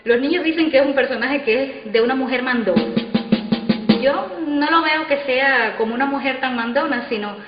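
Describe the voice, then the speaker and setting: A woman with a fairly high voice speaks in interview style, like an actress discussing her work. The room is echoey and the sound dull, with faint noise. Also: moderate pace, loud music behind the voice. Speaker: radio actress. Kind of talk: interview talk about a role.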